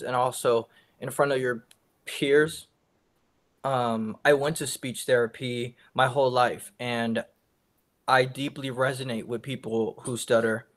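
A man's voice: medium pitch, fairly bright tone. A young man with a stutter, giving a college class presentation over a video call. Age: young adult. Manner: stutters with sound repetitions and blocks. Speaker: student presenter.

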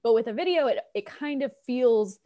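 An American woman in her thirties talking casually and informally as an interview guest, chatty and animated, on a video call. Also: a little high, normal speed, dull audio. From United States, Colorado. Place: living room on a video call. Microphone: wired earbuds on a video call.

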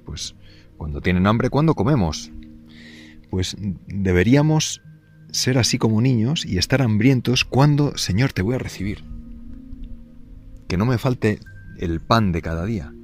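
Man, very deep voice, priest teaching, gentle and halting, faint music underneath. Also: expressive. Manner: gentle, halting, many fillers and restarts. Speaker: priest giving catechesis. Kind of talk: unscripted spoken catechesis.

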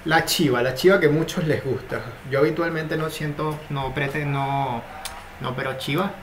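A man analysing cryptocurrency charts in a steady, explanatory screen-share commentary. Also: a little high, moderate pace. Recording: noticeable background noise.

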